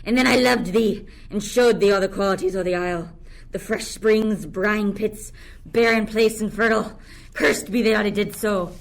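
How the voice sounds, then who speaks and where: A woman's voice: mid-range voice, steady tone, normal speed, creaky, a little hiss or hum, close to an everyday voice, rough recording. Young woman as bitter, cursing island monster. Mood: aggrieved. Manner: declamatory, resentful. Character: Caliban, bitter cursing enslaved island monster, witch's offspring. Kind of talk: radio play, Shakespearean verse.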